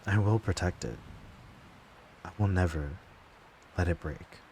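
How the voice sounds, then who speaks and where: A low, slow-spoken wounded lover, acted by a man in a late-night audio drama, brooding bitterly over betrayal through faint hiss. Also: a little mellow. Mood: tender, wistful. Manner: slow, deliberate, brooding, heavy pauses. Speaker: voice actor. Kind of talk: dramatic audio monologue, ASMR-like.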